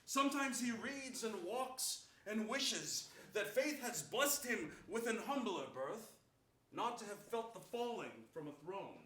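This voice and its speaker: A man's voice: high voice, lively intonation, measured pace, pushed and bright, exaggerated voice. A middle-aged man playing a dutiful, sympathetic prison lieutenant, formally declaiming Shakespearean verse in a staged reading. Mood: sympathetic, solemn. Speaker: stage actor. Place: large indoor theater stage before an audience. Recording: echoey theater stage recording.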